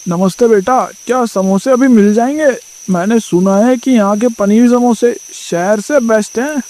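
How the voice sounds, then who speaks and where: A woman's voice: a little high, normal speed, warm tone, slight background noise, lightly acted. A woman voicing a kindly middle-aged man who runs a food chain, speaking politely and encouragingly in a storybook cartoon. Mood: friendly. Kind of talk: storybook voice acting.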